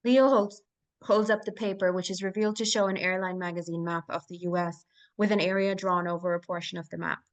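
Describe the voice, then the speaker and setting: A woman's voice: fairly low pitch, steady tone, brisk, dull audio. A woman reading scene directions as narrator at a live screenplay table read.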